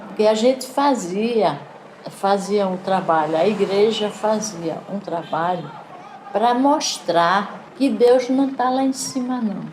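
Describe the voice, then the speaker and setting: Older woman, Recife accent, mid-pitched voice, conversational oral testimony over clearly audible background noise. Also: slow, darkish tone. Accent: Recife, Northeastern Brazilian. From Recife, Brazil. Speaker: community educator and resident giving testimony. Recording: noisy interview with background ambience. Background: clear background noise and ambience.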